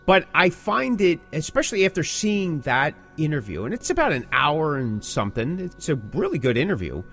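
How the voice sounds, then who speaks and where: A man's voice: fairly high pitch, huge pitch swings, moderate pace, fairly bright tone, soft background music. A man co-hosting a conversational podcast, speaking emphatically in short phrases and posing rhetorical questions. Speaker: podcast co-host and music interviewer. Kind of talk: conversational music industry podcast talk.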